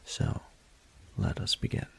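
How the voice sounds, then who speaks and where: A man playing a regal, doting dragon ruler in a romantic audio roleplay, speaking formally in a gravelly, breathy murmur. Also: slight background noise, lightly acted. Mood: patient, instructive. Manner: formal, unhurried, eloquent, courtly. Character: regal male dragon ruler, doting, patient, courtly mentor.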